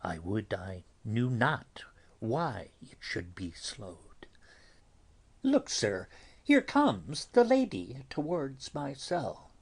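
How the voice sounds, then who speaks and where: Man acting older friar, grave and uneasy, stage verse, slow deliberate halting pace, breathy rough voice. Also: medium pitch, sing-song, exaggerated voice. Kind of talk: Shakespearean dramatic reading.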